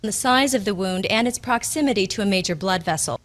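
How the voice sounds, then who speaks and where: A woman's voice: medium pitch, fairly quick, close to an everyday voice. A woman playing a clinical expert, giving a terse, serious scripted explanation. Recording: faint background ambient noise.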